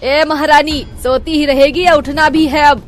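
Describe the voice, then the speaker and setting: A woman voicing a sharp-tongued, sarcastic middle-aged mother-in-law in a cartoon story. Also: fairly high pitch, a little slow, faint music behind, close to an everyday voice. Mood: scolding. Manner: scolding, dramatic, sarcastic. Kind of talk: cartoon voice acting.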